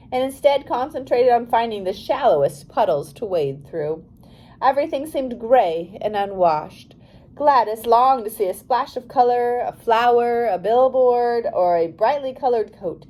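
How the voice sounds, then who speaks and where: A woman reads a children's biography aloud in a fairly high yet mellow voice. Her delivery is careful and expressive, with plenty of rise and fall across each sentence. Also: a little hiss or hum, poor audio. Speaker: audiobook reader. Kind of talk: scripted narration of a biography for young readers.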